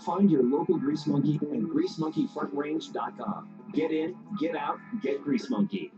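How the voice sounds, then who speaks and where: A man's voice: on the high side, moderate pace. Male ad announcer over music. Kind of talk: radio-style ad read. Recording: dull ad audio with music.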